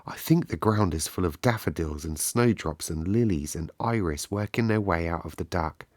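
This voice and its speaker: Guy reading as a gentle young girl, slow soft drone, soothing, low pitched, bedtime storybook. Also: no echo. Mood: soothing, dreamy. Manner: slow, hushed, droning.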